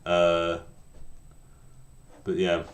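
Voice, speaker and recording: A man's voice: low voice, completely flat delivery, drawn-out words, roomy sound. A man in his late thirties chatting casually while coding on a livestream, rambling and restarting his sentences with a self-deprecating tone. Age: 30s. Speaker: game developer streaming.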